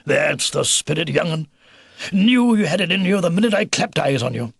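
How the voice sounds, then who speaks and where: Storybook old beaver grandpa played by a man: hearty military bluster, kindly, gruff gravel, very animated delivery. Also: on the high side, normal speed, no room sound, studio quality, voice pushed up, heavily acted. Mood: proud, hearty. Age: older.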